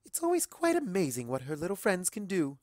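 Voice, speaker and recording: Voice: medium pitch, huge pitch swings, brisk, darkish tone, no echo, clean studio sound, pitched above the performer's own voice. A poised cartoon pony lady of society, played by a storyteller. Her delivery is genteel, composed and a little posh. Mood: gracious. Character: refined, poised female pony socialite.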